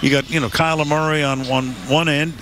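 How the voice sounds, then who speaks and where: An older man calling a football broadcast from a stadium booth on a headset mic, in a smooth, measured announcer's delivery. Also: fairly high pitch, level tone, fairly bright tone, slight background noise. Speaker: play-by-play announcer. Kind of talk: broadcast sports commentary.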